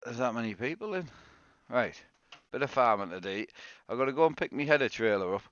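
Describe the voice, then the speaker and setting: A man's voice: mid-range voice, lively intonation, a little fast, clean tone, dry recording, lo-fi sound. A man with a cold, sounding tired, chatting casually to viewers on a live game stream and greeting them by name. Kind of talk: live-stream gameplay chat. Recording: loud background noise.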